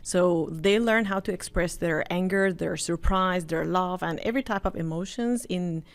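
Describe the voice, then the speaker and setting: A woman who teaches English, speaking as a panel guest and explaining her views in a measured way. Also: on the low side. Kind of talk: panel discussion.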